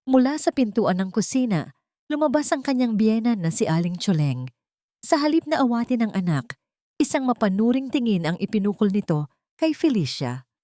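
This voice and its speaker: A woman's voice: fairly low pitch, a little mellow, no room sound, studio quality. A woman narrator reading a scripted dramatic love story.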